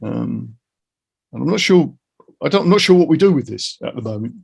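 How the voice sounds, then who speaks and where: A middle-aged man with a husky, gravelly voice talking earnestly and reflectively in a casual interview, offering his opinions. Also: mid-range voice, animated, a little fast, dull audio.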